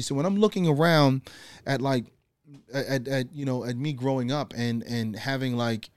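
A man, a podcast guest talking in a loose, slangy, animated way. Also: mid-range voice, no room sound. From Guyana. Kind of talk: casual conversation.

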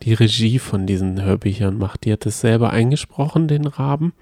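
Male podcast co-host, mellow voice, close dry mic, enthusiastic with restarts. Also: fairly low pitch, dry recording. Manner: earnest and enthusiastic, with halting restarts. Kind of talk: casual chat.

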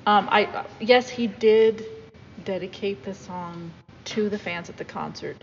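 A woman's voice: medium pitch, steady tone, a little slow, highs cut off, slight background noise. Thoughtful woman co-host, echoey. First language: not Korean. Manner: thoughtful, hedging. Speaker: podcast co-host. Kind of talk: casual discussion of song lyrics.

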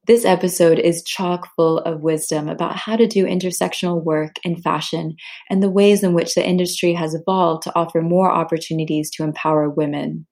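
A woman hosts a podcast, reading a scripted introduction before she turns to her questions. Her voice sits fairly low and sounds mellow, and her delivery is clear and measured. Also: fairly even intonation. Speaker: podcast host.

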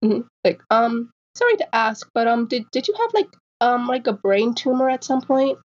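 A woman's voice: fairly high pitch, moderate pace, lo-fi sound. Woman in her twenties who stutters. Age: 20s. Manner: stutters, with repeated sounds and restarts; animated storytelling, acting out quoted people. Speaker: guest author. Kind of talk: casual interview chat.

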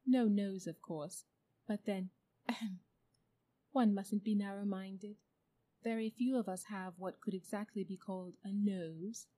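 Casting: genteel female elephant for a storybook, mild, thoughtful, self-important, with measured delivery woman performer. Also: medium pitch, steady tone, dark tone, natural voice. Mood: musing. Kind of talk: storybook character voice.

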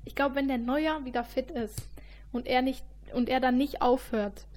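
A woman's voice: high voice, moderate pace, darkish tone. A woman co-hosting a podcast, giving matter-of-fact opinions in a casual football chat. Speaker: podcast co-host.

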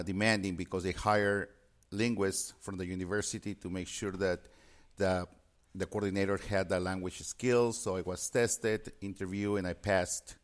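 Halting lowish-voiced man from Peru. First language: Spanish. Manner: halting, many fillers and restarts. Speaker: interpreting business guest. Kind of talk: podcast interview answer.

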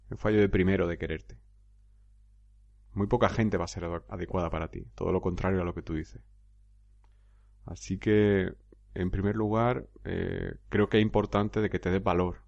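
A deep, gravelly male voice with a blunt, emphatic way of talking, hammering points home with repetition. He sounds like a podcast host coaching a listener. The recording is dry and somewhat muffled. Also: a little mellow, no echo. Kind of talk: podcast commentary.

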